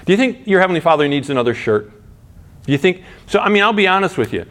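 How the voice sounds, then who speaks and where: A man's voice: high-pitched, very animated, fast, a bit bright. Middle-aged man preaching conversationally. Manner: conversational preaching with frequent restarts and rhetorical questions. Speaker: pastor. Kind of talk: sermon.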